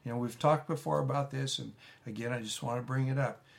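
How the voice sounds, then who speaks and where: A man, a pastor giving a relaxed, rambling teaching talk with frequent restarts and folksy asides. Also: mid-range voice, quick pace, vocal fry. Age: 70s. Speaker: pastor teaching a church leadership course.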